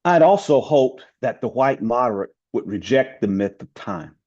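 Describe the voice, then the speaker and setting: A man from the community reciting a written speech with oratorical emphasis, drawn-out words and falling phrase endings, in a dull, slightly lo-fi recording. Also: mid-range voice, animated, darkish tone. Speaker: community member reading aloud.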